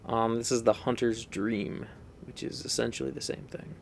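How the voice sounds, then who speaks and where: A man's voice: mid-range voice, steady tone, normal speed, creaky, a little hiss or hum. A man giving casual, rambling, unscripted commentary while playing a video game. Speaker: gaming video host.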